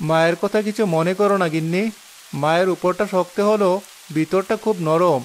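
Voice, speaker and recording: A woman's voice: fairly even intonation, fairly quick, slight background noise, natural voice. A woman doing a worried young village headman. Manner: deep-voiced and dutiful.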